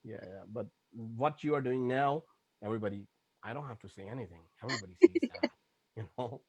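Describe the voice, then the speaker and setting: A man from Pakistan, a music producer, chatting over a video call in a relaxed, rambling way with many fillers. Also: medium pitch, fairly quick, breathy edge, no room sound, dull audio. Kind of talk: casual chat. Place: Toronto.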